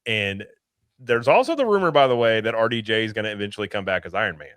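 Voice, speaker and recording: A man co-hosting a casual live chat show, talking in an animated, opinionated way. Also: on the low side, fast talker, dry recording. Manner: animated, slips into impressions. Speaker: podcast co-host.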